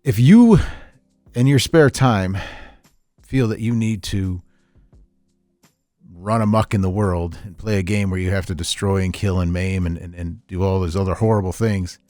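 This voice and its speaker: Low-pitched man, rambling and chuckly. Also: normal speed, no room sound, soft background music. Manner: animated storyteller, chuckles often, repeats himself. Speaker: podcast host, veteran tabletop gamer. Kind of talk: casual rambling podcast chat.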